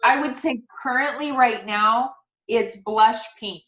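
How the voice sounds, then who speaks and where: A woman's voice: on the high side, slow pace, fairly bright tone. Middle-aged female guest, sincere and faith-minded storyteller in a casual interview; dull, echoey recording. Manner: earnest, devout, personal. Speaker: interview guest, author and speaker. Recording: dull, roomy sound.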